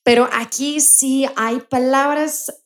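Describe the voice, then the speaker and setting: A woman whose first language is English, speaking Spanish she learned in Colombia with an English accent, talking casually as a podcast guest and often pausing to search for words. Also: high-pitched, fairly even intonation. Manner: thoughtful, searching for words, many restarts.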